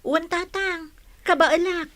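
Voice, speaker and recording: A woman's voice: very high-pitched, fairly even intonation, measured pace, a bit bright. A woman playing a dutiful, reassuring young village daughter in a radio drama, earnest and caring. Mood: caring, determined.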